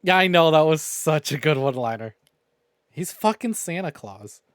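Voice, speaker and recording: A man streaming a visual novel, reading it aloud and reacting in an expressive, sweary way. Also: a little high, moderate pace, smooth, no room sound. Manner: expressive, profane. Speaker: game streamer.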